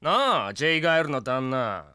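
A man's voice: medium pitch, sing-song, normal speed, bright, forceful tone, smooth, dry recording. A man playing a cocky, sardonic gunslinger for hire in a Japanese audio drama, with a swaggering, taunting manner.

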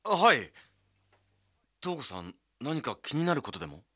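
A brisk detective, dry sound. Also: male voice, fairly high pitch, huge pitch swings, fairly bright tone, slightly rough, no echo, heavily acted. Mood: casual. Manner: brisk, reporting. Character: businesslike male police detective. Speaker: police detective. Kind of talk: radio drama acting.

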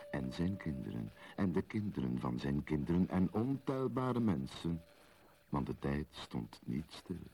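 An actor playing a solemn storyteller of a mystical legend, narrating poetically and speaking straight to the listener in a radio play. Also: male voice, low voice, warm tone, a little hiss or hum, exaggerated voice. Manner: solemn, incantatory, addressing the listener directly.

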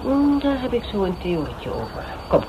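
An actor playing a stubborn, determined amateur sleuth in a Dutch radio crime drama, talking bluntly and colloquially. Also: male voice, sits high, huge pitch swings, moderate pace, lo-fi sound. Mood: guarded, wry. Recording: noisy old radio drama recording.

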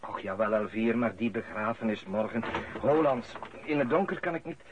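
An actor playing a solemn, fatherly clergyman in a Dutch radio drama, with deliberate, preacherly phrasing. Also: on the low side, lo-fi sound, natural voice. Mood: uneasy, resigned. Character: solemn male canon, measured and fatherly. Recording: noisy old radio drama recording.

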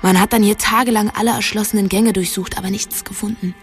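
A headstrong young woman acted by a woman in a radio play. Her voice sits at an ordinary pitch and she talks bluntly, with a secretive, conspiratorial edge. Music plays faintly behind her. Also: fairly even intonation, quick pace, vocal fry, natural voice. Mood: conspiratorial storytelling. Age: young adult. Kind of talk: German radio drama dialogue.

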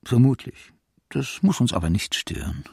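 Male narrator for a radio feature: lively pitch swings, rough husky edge, deliberate phrasing. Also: no echo, studio quality.

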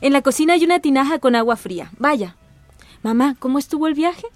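A woman's voice: sits high, expressive, fairly quick, no echo, soft background music. A young woman acting in a radio drama as an earnest, affectionate daughter with a wistful, easily hurt temper. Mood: warm, welcoming.

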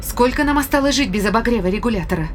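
An actress playing a brisk, curious spaceship scientist with a dry, commanding manner in a science fiction audio drama. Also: female voice, a little high, lively intonation, soft background music, close to an everyday voice. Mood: dry, probing.

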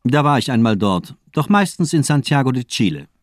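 A man's voice: mid-range voice, big pitch swings, fairly quick, no echo, clean studio sound, close to an everyday voice. A man playing a worldly, composed surgeon with hidden menace in a radio drama, speaking in a polished, formal manner. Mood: smooth, guarded.

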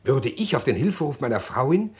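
A man's voice: mid-range voice, big pitch swings, a little fast, a little mellow. Man narrating a radio crime drama. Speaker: narrator in first person.

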